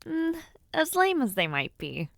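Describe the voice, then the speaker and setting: A woman playing a giddy, bashful young noblewoman in an intimate romance audio roleplay, affectionate and teasing, with soft hesitations. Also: high voice, huge pitch swings, measured pace, gravelly, no echo, clean studio sound, heavily acted. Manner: soft hesitations, gentle teasing, shy giggles. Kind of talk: intimate scripted audio roleplay, speaking to the listener.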